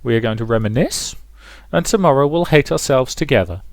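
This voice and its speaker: A man voicing a bossy, warm-hearted, tipsy young woman from a visual novel, read aloud. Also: medium pitch, animated, brisk, no echo, voice pushed up, lightly acted. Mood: tipsy, insistent.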